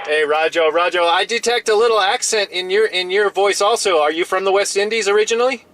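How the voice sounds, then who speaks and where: A man's voice: high voice, brisk, a bit bright, dry recording. A friendly, chatty man talking casually in radio operator jargon from a parked pickup truck, often repeating himself.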